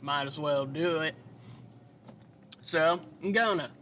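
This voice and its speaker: Male podcast host, nasal and whiny, high bright voice, slow rambling delivery, faint hiss behind. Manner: rambling, self-deprecating, swears freely. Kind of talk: casual solo podcast monologue.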